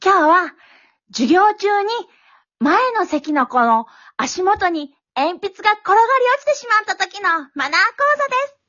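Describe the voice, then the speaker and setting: A woman's voice: very high voice, lively intonation, a little slow, sharp tone, dull audio, full character voice. An actress playing an eccentric female manners instructor who talks in an earnest, dramatic way and rambles to herself. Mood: poised. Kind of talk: comic amateur radio drama.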